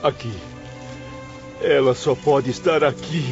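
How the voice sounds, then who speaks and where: A man's voice: medium pitch, steady tone, takes it slowly, vocal fry. A man playing a grieving spirit, a former professor longing for his mother, speaking in an anguished, pleading way in a dramatized audiobook. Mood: distressed searching. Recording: dull lo-fi audio with music behind.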